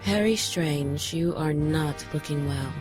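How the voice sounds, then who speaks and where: Casting: stately female immortal, grave and formal, lowish voice over music, fantasy audio drama. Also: slow. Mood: solemn, commanding. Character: solemn, regal female immortal guardian of a champion. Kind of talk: audio drama narration and acting.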